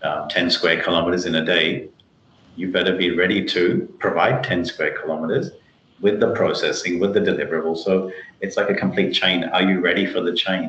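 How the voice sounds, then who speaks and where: A man's voice: deep voice, fairly even intonation, fast, a bit bright, a little hiss or hum. A man answering interview questions on a video call, explaining things emphatically in a conversational way. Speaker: interview guest, tech company chief executive. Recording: muffled, roomy video call audio.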